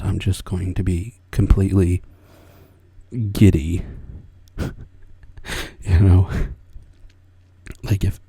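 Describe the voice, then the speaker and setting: An older man talks in a hushed, whispering ASMR chat with a low, gravelly, deeply husky voice. His tone is dark and mellow, and the sound is close and dry, with no room around it. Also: no room sound. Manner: whispering, soft-spoken, rambling. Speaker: ASMR artist. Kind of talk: soft-spoken ASMR chat.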